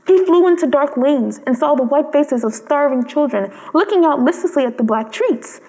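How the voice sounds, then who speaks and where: A woman's voice: high-pitched, expressive, normal speed, mellow. A woman reading a children's story aloud as scripted narration. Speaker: storybook reader. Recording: long hall-like reverb.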